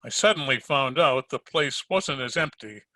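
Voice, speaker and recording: Hardboiled detective for old-time radio drama, male actor: sardonic, tough, piercingly bright delivery, dry close sound. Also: medium pitch, lively intonation, normal speed, no echo. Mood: narrating. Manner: wry, tough-talking, sardonic. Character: wisecracking hardboiled male private detective, unflappable. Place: home recording over video call.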